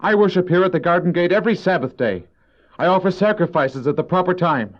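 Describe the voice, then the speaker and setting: High-voiced proud, defiant Cain. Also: male voice, animated, fast. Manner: theatrical, argumentative, sarcastic. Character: Cain, proud, resentful, defiant farmer and elder brother. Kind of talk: religious audio drama acting. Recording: dull old cassette audio drama.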